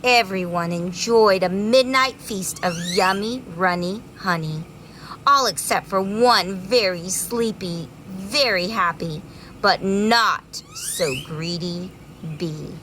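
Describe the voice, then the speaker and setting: A woman's voice: medium pitch, takes it slowly, a bit bright, a little hiss or hum. A woman reading a children's story aloud expressively, drawing out words dramatically for young listeners.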